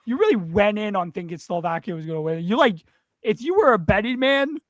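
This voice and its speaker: A young man co-hosting a sports podcast, playful and quick to laugh, thinking out loud as he gives his takes. His voice sits high, and the close mic makes it sound dry. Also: lively intonation, no room sound. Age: young adult. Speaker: podcast co-host. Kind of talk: casual sports chat.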